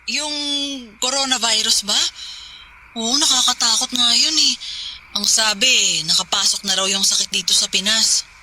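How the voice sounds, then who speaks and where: A Filipino woman playing a calm, skeptical working wife in casual, conversational radio drama dialogue. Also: on the high side, moderate pace, long pauses, background noise, close to an everyday voice. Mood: concerned. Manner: casual, reassuring, mildly dismissive. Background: music.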